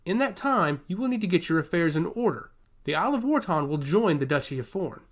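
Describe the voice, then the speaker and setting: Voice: medium pitch, big pitch swings, fairly quick. A narrator acting a young, arrogant ducal envoy in a fantasy tale. He speaks in imperious, formal pronouncements with cool menace and strongly varied intonation. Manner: imperious, formal, coolly threatening. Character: arrogant young male envoy of a rival duchy.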